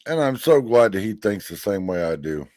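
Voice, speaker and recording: A man's voice: on the low side, very animated, moderate pace, a little mellow, no echo. A man in his fifties giving blunt, folksy commentary, now and then swearing. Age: 50s. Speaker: commentator reacting to a hearing. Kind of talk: casual commentary over a clip.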